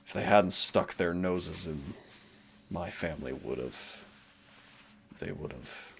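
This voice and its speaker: A man playing a centuries-old demon hunter, teasing and rebellious yet wistful and protective, in an intimate audio roleplay with a gravelly voice. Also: expressive, slow, sharp tone, a little hiss or hum, exaggerated voice. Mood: grieving, bitter. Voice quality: very low, gravelly creaky voice. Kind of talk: intimate second-person audio roleplay acting.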